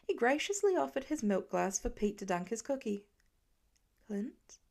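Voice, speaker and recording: A woman reading scripted fiction as an audiobook narrator, in an expressive and careful manner. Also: on the high side, fairly quick, creaky.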